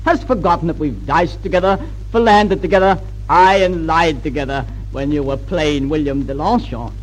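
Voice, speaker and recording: An actor playing a sly, sardonic prince who taunts in a theatrical way in an old-time radio historical drama. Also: male voice, on the high side, moderate pace, faint music behind, natural voice, rough recording. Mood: mocking. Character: sly, mocking prince, younger brother of the king. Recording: vintage 1940s-50s radio recording.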